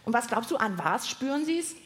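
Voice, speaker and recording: A woman's voice: a little high, lively intonation, fairly quick. A woman hosting a live children's science show, asking lots of questions in simple, playful everyday words. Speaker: host of a children's science podcast. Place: live on stage at a podcast festival with audience.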